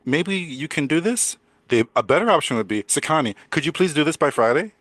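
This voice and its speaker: An older man with a deep voice teaching English in a chatty way, repeating words and laughing often. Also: expressive, a little fast, fairly bright tone, no echo. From United States. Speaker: English coach. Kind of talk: casual teaching conversation.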